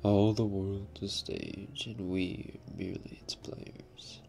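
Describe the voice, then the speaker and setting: Gravelly low-voiced young man. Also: flat delivery, takes it slowly, soft background music. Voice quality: a little raspy. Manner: soft-spoken, half-whispered, laughs often. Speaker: ASMR streamer. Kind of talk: live stream chat, reading comments.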